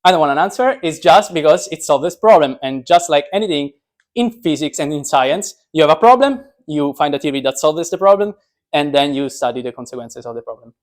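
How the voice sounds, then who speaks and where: A man's voice: fairly high pitch, animated, brisk. Joking young man giving an informal science talk. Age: young adult. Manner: joking, self-deprecating. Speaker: speaker, physics PhD student.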